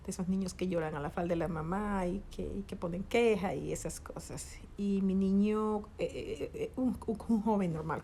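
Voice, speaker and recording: Colombian woman with a gravelly voice. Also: medium pitch, mellow, slight background noise. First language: Spanish. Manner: halting, searching for words, restarts. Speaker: interviewee, grieving mother. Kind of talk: conversational interview.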